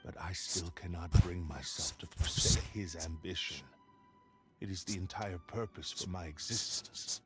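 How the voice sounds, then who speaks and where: A solemn spirit bound to a cursed sword, as a man voices it speaks in a very deep, grave voice, slow and measured, sliding into whispers of regret. Soft game music runs behind him. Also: close to an everyday voice. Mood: earnest. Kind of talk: game voice acting.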